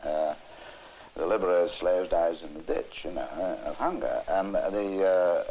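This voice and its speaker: A man speaking as a lecturer in an earnest interview, emphatic but halting, often repeating words as he goes. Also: low voice, dark tone, muffled recording. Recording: hissy, noisy 1960s film soundtrack.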